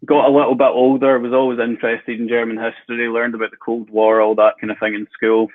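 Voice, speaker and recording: A man's voice: mid-range voice, level tone, fast. A man with a Glasgow Scottish accent, a football fan guest chatting casually on a podcast, easygoing with filler words. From Scotland. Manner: easygoing, with frequent fillers and light laughs. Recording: tinny phone-like call audio.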